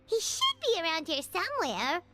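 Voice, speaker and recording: A woman's voice: wildly swooping pitch, moderate pace, music in the background, heavily acted. A woman voicing a tiny, childlike, chirpy and whiny fairy companion in a video game, speaking dramatically. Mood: exhausted, whining. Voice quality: squeaky, childlike. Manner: refers to self in third person, dramatic. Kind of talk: animated video game voice acting.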